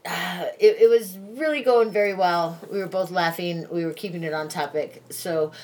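A woman's voice: on the low side, moderate pace, a little mellow, slight background noise. Chatty woman in her forties hosting. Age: 40s. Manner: chatty, a little irritated, laughs easily. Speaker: podcast host. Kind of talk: casual podcast chat.

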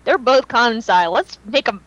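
The voice is a woman's, pitched fairly high, on a dull, lo-fi recording. She co-hosts a casual fan podcast, talking without a script. Her delivery is emphatic and a little theatrical, with repeated phrases for effect. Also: animated, moderate pace, a bit bright, no echo. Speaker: podcast co-host and fan fiction writer.

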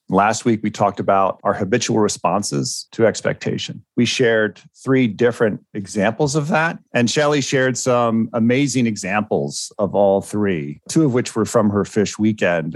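A man co-hosting a podcast as a coach, explaining ideas in a thoughtful, measured way. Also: medium pitch, no room sound, studio quality. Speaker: podcast co-host and ADHD coach. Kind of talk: reflective podcast talk.

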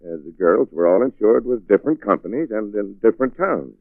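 An actor playing an earnest, insistent investigator, emphatic and explanatory, in a 1940s radio drama. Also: male voice, on the low side, animated, brisk, no echo. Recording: muffled 1940s radio recording, phone-like band.

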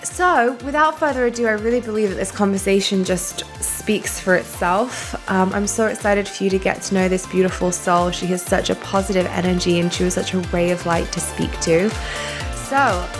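A woman's voice: medium pitch, steady tone, moderate pace, music in the background. Effusive British woman hosting a podcast. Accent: British English. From England. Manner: gushing, effusive. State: slightly sniffly from a cold. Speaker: podcast host. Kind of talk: casual interview chat.

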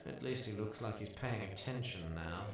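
A man's voice: on the low side, normal speed, natural voice. A man playing a prim, pessimistic robot with a British accent and dry, exasperated manner in a comedic sci-fi audio drama. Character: fussy, pessimistic, sardonic male robot butler.

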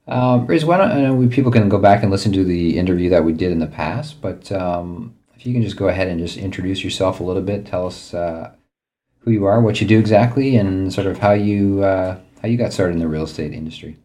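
A man hosting a podcast, asking friendly, halting questions. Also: low-pitched, mellow. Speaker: podcast host. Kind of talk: podcast interview.

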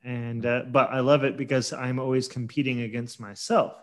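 A man's voice: mid-range voice, moderate pace. A man hosting a podcast, chatting casually and agreeably, with a few "yeah"s and "uh"s. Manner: relaxed, agreeable, fills with "yeah" and "uh". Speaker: podcast host.

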